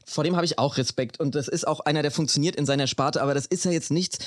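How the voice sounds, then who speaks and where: Casting: man, rapid podcast chatter, slightly high voice, animated indignant commentator. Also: no echo, studio quality. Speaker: podcast co-host. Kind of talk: casual chat, commentary.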